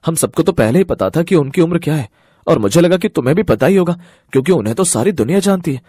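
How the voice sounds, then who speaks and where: A man's voice: on the high side, animated, fast, warm tone, breathy edge, no room sound, studio quality. A man voicing a confident, protective young husband and brother with a matter-of-fact manner in a cartoon story. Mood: reproving.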